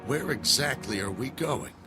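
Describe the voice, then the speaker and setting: A man's voice: medium pitch, expressive, normal speed, sharp tone. An actor playing a skeptical man who questions his friend in a terse, wry way in a radio drama. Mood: skeptical, uneasy. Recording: music under the dialogue.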